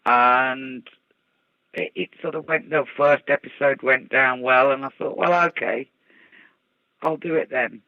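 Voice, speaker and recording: Gravelly Brummie woman chatting. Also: extremely low pitch, steady tone, unhurried, dry recording. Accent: Birmingham English. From English Midlands. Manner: chatty, laughs easily. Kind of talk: casual chat. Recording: dull lo-fi dry recording.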